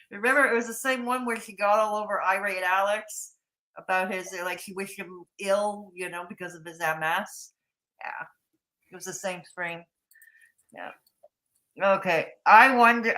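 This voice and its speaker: A woman's voice: mid-range voice, bright, forceful tone. A woman with a Canadian accent hosting a casual livestream panel, laughing often and teasing herself. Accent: Canadian English. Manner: laughs often, reads viewer comments aloud, self-mocking. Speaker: stream host.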